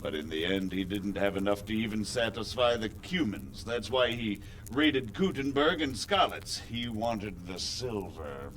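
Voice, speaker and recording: A courteous lord in a medieval game, formal and deliberate. The voice is lowish with lively inflection, over faint ambient noise. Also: male voice. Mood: explanatory. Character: measured, courteous medieval nobleman plotting politics. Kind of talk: video game cutscene acting.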